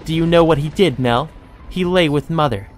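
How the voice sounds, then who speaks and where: A man's voice: on the high side, soft background music, pitched above the performer's own voice, lightly acted. A man voicing a deranged, lovesick teenage sister from a visual novel game, with theatrical, mocking, coaxing delivery. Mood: gleeful, cruel. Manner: taunting, theatrical, drawn-out coaxing.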